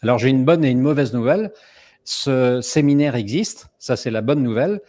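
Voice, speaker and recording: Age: middle-aged. Sex: man. Role: host of a live online summit. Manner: chatty, filler-heavy storytelling. Pitch: medium. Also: lively intonation, normal speed, mellow.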